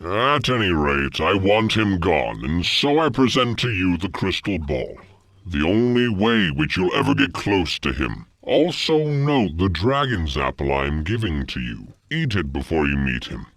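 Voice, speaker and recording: A man acts out a haughty schemer from a fantasy video game. His voice is deep and dry, with lively rises and falls. He speaks in a measured, wryly disdainful way. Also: no echo, full character voice. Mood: wry, instructing. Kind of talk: video game cutscene acting.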